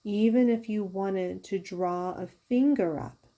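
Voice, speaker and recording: A woman leading a guided yoga nidra meditation as a teacher, giving soothing, unhurried instructions with pauses. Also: mid-range voice, mellow, dull audio. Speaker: yoga therapist guiding a meditation.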